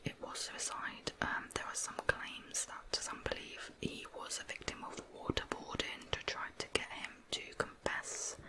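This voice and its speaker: Whispering woman telling true crime. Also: poor audio. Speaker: ASMR creator narrating true crime. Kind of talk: whispered ASMR storytelling.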